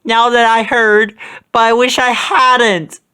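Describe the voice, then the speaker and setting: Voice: mid-range voice, little pitch movement, takes it slowly, sharp tone, clean tone, pitched above the performer's own voice. A reader voicing a cowardly, goofy young male hippie slacker with cartoonish exclamations in a storybook read-aloud. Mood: panicked. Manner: cartoonish exclamations, sprinkles speech with like.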